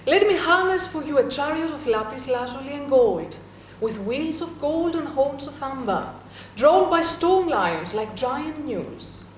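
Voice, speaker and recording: A woman acts out a proud goddess, coaxing and seductive, declaiming grand promises in a high voice. She sounds set back from the mic in an echoey room with faint ambience behind. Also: lively intonation, measured pace, recorded from a distance, slight background noise. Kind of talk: theatrical dramatic reading.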